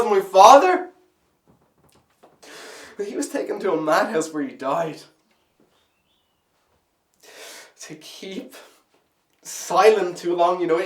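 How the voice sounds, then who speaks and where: In a roomy space, some way from the microphone, a male actor plays a disillusioned, anguished young man in a dramatic stage monologue. His voice is fairly high for a man. He speaks haltingly and bitterly, shifting between brooding and outbursts. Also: gravelly. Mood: agitated, rising anger. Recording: echoey room, distant microphone.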